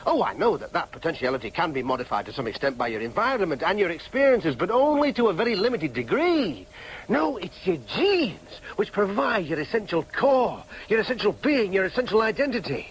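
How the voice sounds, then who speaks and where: An actor with a Yorkshire accent playing an agitated, obsessive adopted son in a radio drama, insistent and declamatory. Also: male voice, high-pitched, big pitch swings, a little fast, a little hiss or hum, rough recording. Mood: exalted, brooding. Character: agitated, obsessive adopted son hungry for his true identity. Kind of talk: broadcast radio drama acting.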